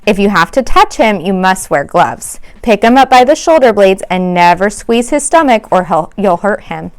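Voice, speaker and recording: A woman's voice: mid-range voice, very animated, moderate pace, clean tone. A firm female schoolteacher from a storybook, voiced by a woman reader. She speaks in an orderly, instructive way, keeping a class in line. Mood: firm instruction.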